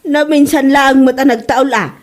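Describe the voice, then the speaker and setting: A woman's voice: sits high, fairly even intonation, normal speed, natural voice. A woman with an Ilocano accent playing a stubborn mother who defends her dog in an amateur comedy drama, recorded with noise. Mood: stubborn, defensive. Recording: clearly noisy background.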